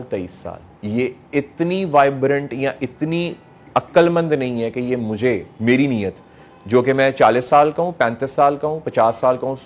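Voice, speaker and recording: A middle-aged man with a Pakistani accent speaks as a motivational lecturer. His pitch sits in the middle of the male range. He drives points home with repetition and rhetorical questions, often slipping into English phrases. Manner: mixes English phrases into speech. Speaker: motivational speaker addressing young people.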